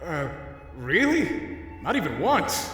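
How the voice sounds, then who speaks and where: A man's voice: fairly high pitch, very animated, slow, fairly bright tone, full character voice. A man playing a skeptical, wounded young skeleton brother in a comic dub, talking in an informal, hesitant, wry way. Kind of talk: dramatic fan comic dub. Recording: very echoey long reverb under music.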